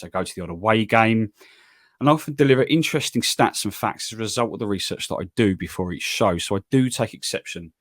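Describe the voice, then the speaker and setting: A man with an English accent hosting a football podcast, talking calmly and evenly in a self-deprecating way. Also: sits low, dry recording, studio quality. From England. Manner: calm, even, self-deprecating. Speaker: podcast host. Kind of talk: solo podcast monologue and interview.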